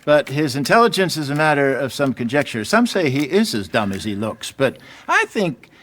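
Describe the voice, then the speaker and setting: An actor playing a sly, courtly smooth talker with wry irony in a game cutscene. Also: male voice, medium pitch, very animated, normal speed, fairly bright tone. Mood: amused, teasing. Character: genteel, wry, sly smooth-talking older American swindler. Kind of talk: dramatic game cutscene acting.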